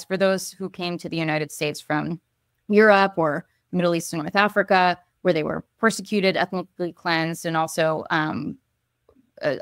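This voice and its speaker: Young woman giving an earnest, halting answer. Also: on the low side, no room sound, studio quality. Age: young adult. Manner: earnest, with frequent ums and restarts. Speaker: guest, advocate and essayist. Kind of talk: thoughtful interview answer. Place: home room. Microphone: video call.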